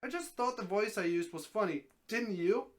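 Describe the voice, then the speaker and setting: A man's voice: sits high, moderate pace, natural voice. A man voicing an old, easygoing talking snake in a dramatic story reading, folksy and matter-of-fact. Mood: teasing.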